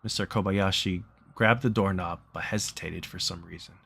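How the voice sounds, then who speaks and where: A man's voice: low-pitched, steady tone, moderate pace, gravelly, a bit bright, husky. A man reading a game's story aloud in a plain, conversational way, mixed with casual chat. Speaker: streamer reading a visual novel aloud.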